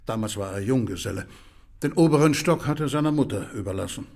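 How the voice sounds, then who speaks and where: An actor playing a slightly defensive male household servant in a German radio drama, with a husky voice. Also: mid-range voice, lively intonation, quick pace, a little mellow. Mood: matter-of-fact.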